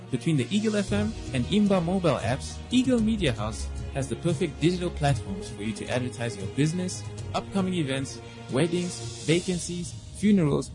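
A man reading an upbeat radio advertisement. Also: fairly high pitch. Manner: upbeat announcer read. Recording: voice over loud music bed.

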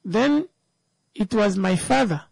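A woman's voice: medium pitch, expressive, slow pace, creaky, a little mellow, dry recording, poor audio. A woman, giving an emphatic opinion talk that turns into a personal story, repeating words for stress.

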